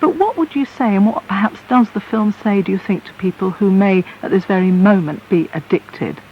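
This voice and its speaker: A British woman hosting a radio interview, articulate and measured as she puts probing questions and presents the programme. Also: mid-range voice. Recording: muffled hissy shortwave-style radio broadcast.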